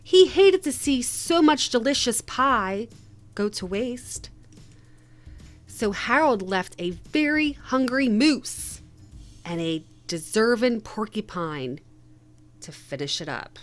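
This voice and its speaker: A woman reading a children's picture book aloud in an expressive, measured storytelling manner. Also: fairly high pitch, soft background music. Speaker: read-aloud host.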